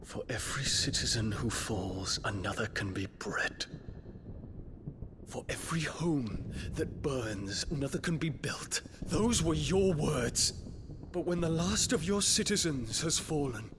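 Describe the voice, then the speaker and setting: A resolute young prince speaks in formal, measured sentences from a video game cutscene. His voice sits a touch high and swings widely in pitch. Clear background noise runs under the game audio. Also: lively intonation. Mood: accusing. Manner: formal, measured, grave delivery. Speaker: prince confronting his father.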